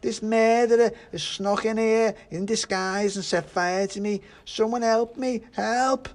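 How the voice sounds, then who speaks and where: Shrill, melodramatic Christmas pudding. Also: male voice, fairly even intonation, unhurried. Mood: panicked outrage. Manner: exclamatory, melodramatic. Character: huge drunken Christmas pudding, gleeful then hysterically outraged, male. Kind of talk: theatrical storybook character voice.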